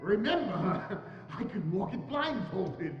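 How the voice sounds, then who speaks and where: A man's voice: high voice, very animated, slow, soft background music, full character voice. A man playing a grumpy, shaken old miser in a theatrical dramatic reading, hesitant and exclamatory. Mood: wondering. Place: church, live staged reading. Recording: echoey church hall, dull sound, faint noise.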